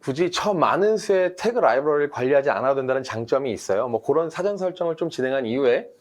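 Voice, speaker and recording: A man's voice: medium pitch, expressive, fast talker. Male engineer, mid-range voice, walks through the process patiently with fillers. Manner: detailed step-by-step explaining, frequent fillers. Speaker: BIM design engineer. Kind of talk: webinar technical explanation.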